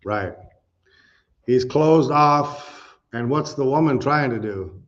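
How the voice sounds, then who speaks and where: Chatty middle-aged preacher, dull lo-fi sound. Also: male voice, mid-range voice, slow pace. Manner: loose and chatty, full of "you know" asides, asks the audience questions. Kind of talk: conversational teaching sermon with slides. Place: church meeting room with a responding audience. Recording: dull lo-fi recording.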